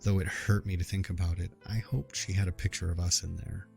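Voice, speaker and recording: Guy doing a sad dad narrating his own story, lowish, heartfelt, music under it. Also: level tone, fairly quick, gravelly, a little mellow. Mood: reflective. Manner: earnest and heartfelt. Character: tender adoptive father, grieving. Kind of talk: first-person dramatic narration.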